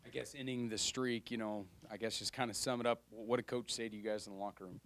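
A man's voice: lowish voice, steady tone, fast, a bit bright. A man asking informal questions as a sports reporter at a press conference. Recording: faint background noise and clicks.

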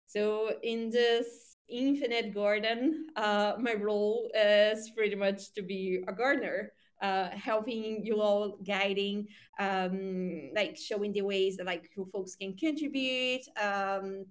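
A woman's voice: medium pitch, fairly even intonation, slow, few pauses. A woman introducing herself as a community coordinator on a group call. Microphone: online voice call.

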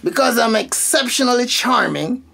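A man's voice: very high voice, expressive, moderate pace, creaky, bright, forceful tone, heavily acted. An actor playing a vain, argumentative Jamaican ladies' man in a radio soap, boasting emphatically. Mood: smug, indignant.

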